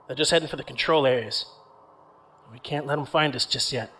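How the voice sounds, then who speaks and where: A man's voice: mid-range voice, a little fast, bright, forceful tone, husky. An actor playing a loyal young starship crewman with a casual, reassuring manner in an audio drama. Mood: tense, defensive.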